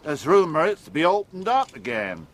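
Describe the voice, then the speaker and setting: A man's voice: high-pitched, big pitch swings, normal speed, slight background noise. A man playing a wary, plain-spoken working-class carriage driver in an audio drama, deferential and gossipy in a rustic way. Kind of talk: gothic audio drama acting.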